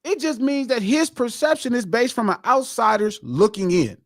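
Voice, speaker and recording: Emphatic, high-pitched man lecturing his co-hosts. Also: animated, moderate pace, a bit bright, no echo, studio quality. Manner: emphatic, preachy, repeats his points. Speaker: podcast host. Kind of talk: heated casual debate.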